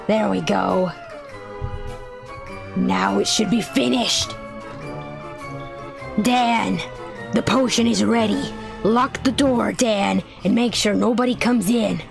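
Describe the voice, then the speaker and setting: A woman's voice: mid-range voice, steady tone, slow pace, vocal fry, pauses often. A woman voicing a bossy, self-important male scientist in a video game roleplay. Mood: commanding. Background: music.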